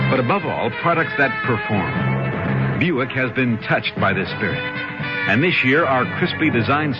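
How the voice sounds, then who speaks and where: A man reading a scripted 1970s radio commercial as an announcer. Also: medium pitch. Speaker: advertisement announcer. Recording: dull old radio broadcast, loud music.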